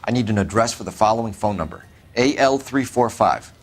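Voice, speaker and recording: An actor playing an upright, by-the-book American police detective with a stern manner, in a 1940s noir video game. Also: male voice, lowish voice, expressive, brisk, background noise, natural voice. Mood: businesslike.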